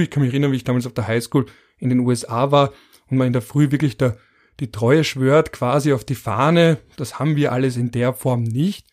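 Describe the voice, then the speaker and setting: A man hosting a podcast in a relaxed, conversational way. He reads out survey numbers one after another and pauses now and then to muse on them, with a wry edge. His voice sits at an ordinary middle pitch. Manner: reads out statistics, musing and wry. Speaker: podcast host.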